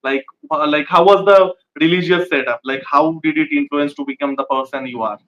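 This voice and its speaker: A man's voice: fairly high pitch, brisk, a bit bright, muffled recording. A man hosting an interview channel, asking casual questions eagerly with lots of fillers. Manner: enthusiastic, filler-heavy questions.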